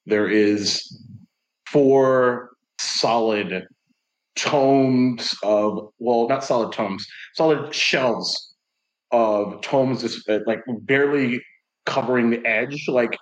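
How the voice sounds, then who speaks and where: A man's voice: medium pitch, slow, rough recording. A man playing a tabletop game, describing scenes in a rambling, casual way with many fillers. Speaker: player.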